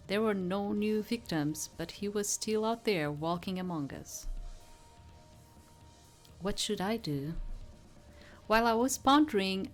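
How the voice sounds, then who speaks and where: A woman reading a visual novel aloud and commenting casually, sometimes stumbling over her words. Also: on the low side. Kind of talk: read-aloud story narration with casual commentary.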